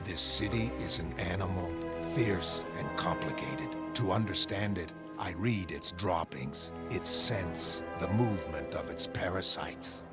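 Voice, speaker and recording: An actor playing a grim, obsessive masked vigilante reading his journal in clipped, growling sentences for a dark comic-book drama. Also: low voice, measured pace. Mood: brooding, suspicious. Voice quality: gravelly, growling. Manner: clipped, terse, monotone sentences. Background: loud music.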